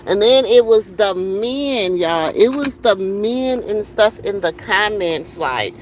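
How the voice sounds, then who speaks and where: A woman's voice: medium pitch, lively intonation, slow, a little hiss or hum. A middle-aged woman ranting on a casual livestream from a car, heated and rambling with many fillers. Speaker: livestream host.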